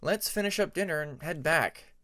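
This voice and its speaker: A man's voice: a little high, animated, moderate pace, bright, forceful tone. A man voicing a mild-mannered young male dragon who speaks earnestly and a little hesitantly. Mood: reassuring.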